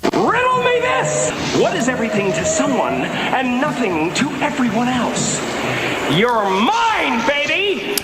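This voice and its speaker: A gleeful, taunting villain in a very high, shrill voice. The words are drawn out slowly and theatrically. The sound is echoey, with loud noise behind it. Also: male voice, wildly swooping pitch, full character voice.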